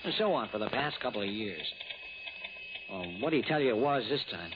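An American actor playing an exasperated, scoffing insurance agent who frets over business, in a 1960s radio drama. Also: male voice, medium pitch, lively intonation, a little fast, lightly acted. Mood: dismissive. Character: exasperated, dismissive male insurance agent, fretting over premiums. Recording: muffled, noisy old radio recording.

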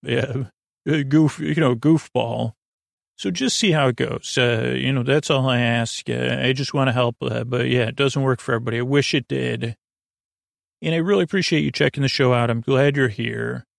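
A man hosting a bedtime podcast, talking in a casual, meandering, halting way with goofy, reassuring asides and a gravelly voice. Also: mid-range voice, no room sound, clean studio sound. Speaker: podcast host.

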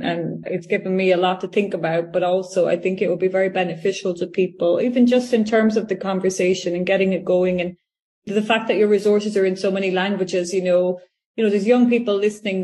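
Curious woman host. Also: medium pitch. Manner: warmly curious, many "you know"s. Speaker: podcast host. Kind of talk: casual interview hosting.

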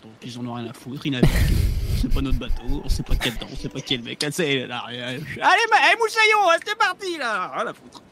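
A man's voice: medium pitch, sing-song, brisk, crowd noise. A man playing in a tabletop roleplaying game, rambling and hesitant, swearing casually and laughing often. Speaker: player. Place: home streaming setup.

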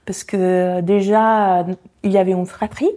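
Invitée d'interview, femme de 40 ans, accent italien, timbre sombre et feutré, réfléchie et hésitante. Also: female voice, medium pitch, flat delivery, measured pace, warm tone. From Italy. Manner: reflective, many hesitations with euh. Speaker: guest, founder of a language association. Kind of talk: conversational interview.

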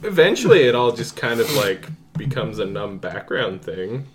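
A man's voice: on the low side, big pitch swings, moderate pace. A man in his thirties, a tabletop game player, chatting with dry jokes. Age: 30s. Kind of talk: casual chat.

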